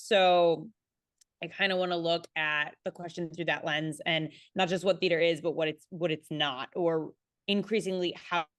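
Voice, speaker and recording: A woman's voice: lowish voice, fairly bright tone, no echo, studio quality. A woman speaking conversationally as a panelist on a video call. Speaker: panelist, playwright and educator.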